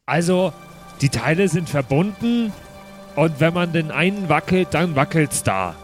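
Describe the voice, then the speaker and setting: A man's voice: a little high, sing-song, unhurried, faint music behind. A man bantering casually as a player in an improvised tabletop game.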